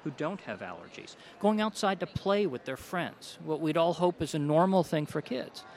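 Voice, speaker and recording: A man, a doctor, answering interview questions about a study in a measured, explanatory way. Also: fairly high pitch, lively intonation, a little mellow. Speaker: doctor, allergy specialist.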